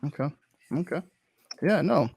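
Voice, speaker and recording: A man's voice: fairly high pitch, expressive, a little slow, gravelly, a little mellow, slightly rough, no echo. A man hosting a casual video-call interview, rambling with frequent restarts and fillers. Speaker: host.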